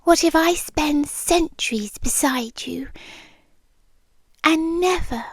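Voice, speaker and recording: A woman's voice: high voice, slow pace, no room sound. A British woman playing an ancient, possessive, lovelorn forest monster in an intimate ASMR roleplay, drifting into whispers. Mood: anxious longing. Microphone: close microphone for headphones.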